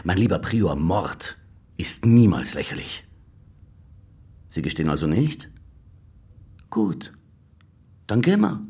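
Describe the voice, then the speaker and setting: An actor playing a weary, sardonic, middle-aged police inspector in a radio drama, muttering and theatrical. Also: male voice, low voice, sing-song, slow pace, pauses often, a little mellow, slight background noise, full character voice. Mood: sardonic, accusing. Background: instrumental music.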